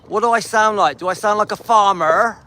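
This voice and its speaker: A man's voice: high voice, fairly quick, sharp tone, a little hiss or hum. An English man live streaming on a dog walk, chatting with viewers and reading out their comments in a rambling, friendly way. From England.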